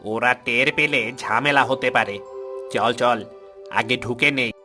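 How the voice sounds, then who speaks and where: A woman's voice: rumbling low, steady tone, measured pace. A woman voicing a scheming, scolding ghost minding a child in a cartoon, coaxing and theatrical. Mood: conspiratorial. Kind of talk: cartoon voice acting. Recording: faint music behind the voice.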